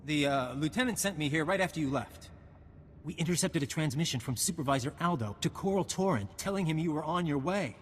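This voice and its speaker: A man's voice: a little high, lively intonation, fairly quick, lightly acted. A man playing a nervous, cowardly soldier turned traitor in a video game, faltering and evasive. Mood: anxious, urgent. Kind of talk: video game cutscene acting. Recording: reverberant game audio, faint noise.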